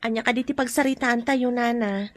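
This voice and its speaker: An actress playing a concerned, cautious, protective friend in an Ilocano radio drama, with a husky voice. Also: female voice, on the high side, steady tone, a little fast, no echo, natural voice.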